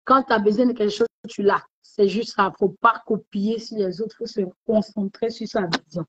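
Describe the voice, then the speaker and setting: A woman's voice: medium pitch, moderate pace, breathy edge, no echo. Chatty woman on a dull, dry livestream. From West Africa. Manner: warm, chatty, repeats herself, many fillers. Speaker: livestream host. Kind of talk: casual motivational livestream talk.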